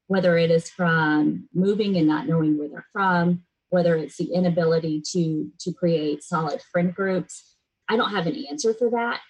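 A woman's voice: on the low side, breathy edge, rough recording. A middle-aged American woman speaking earnestly and bluntly in a serious conversational interview, with emphatic delivery. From United States, raised in a military family abroad and in Florida.

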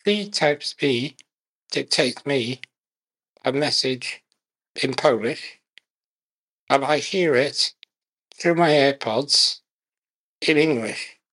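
A man speaking as a guest in an interview, measured and unhurried, speaking in short phrases with pauses. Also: mid-range voice, a bit bright, low-quality recording. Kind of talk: conversational interview.

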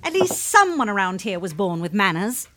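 A woman's voice: mid-range voice, sing-song, moderate pace, noisy background, close to an everyday voice. A woman playing a sardonic, exasperated Victorian wife, blunt and sarcastic, in a comedy audio drama.

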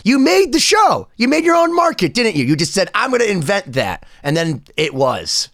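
Lively teasing male podcast host. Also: high voice, moderate pace, no room sound, clean studio sound. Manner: animated, teasing, acts out quotes. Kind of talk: casual podcast chat.

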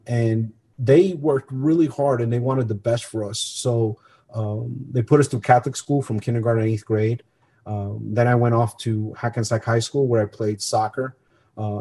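A man's voice: medium pitch, a little mellow. Earnest middle-aged man telling his story. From born in Colombia, grew up in New Jersey. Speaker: interview guest. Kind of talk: conversational personal storytelling.

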